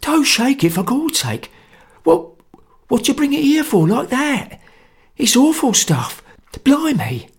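A man voicing a terrified, cowardly male anarchist who stammers in a high squeaky voice. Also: sing-song, a little slow, slightly rough, exaggerated voice. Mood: frightened.

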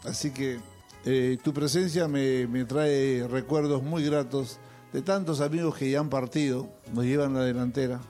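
A man's voice: medium pitch, fairly even intonation, normal speed, mellow, faint music behind. Older man telling stories. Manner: anecdotal storyteller, acts out quoted voices. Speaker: host. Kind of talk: casual conversation among musicians.

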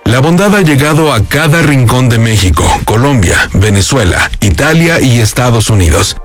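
A man's voice: mid-range voice, expressive, normal speed. A man with a Mexican accent reading scripted narration for a promotional video. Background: background music.

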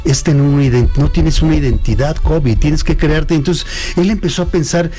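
A man's voice: a little high, normal speed, dark tone, faint music behind. A middle-aged Mexican man with a Mexican accent, answering an interviewer in a reflective, storytelling way with hesitant restarts. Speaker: guest. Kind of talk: conversational interview.

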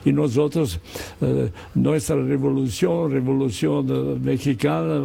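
A man in his sixties with a Serbian accent, a former football coach recalling his career in a casual interview, halting and full of fillers. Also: mid-range voice, fairly even intonation, vocal fry, warm tone, slightly rough. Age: 60s. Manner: halting, many fillers and repeated words.

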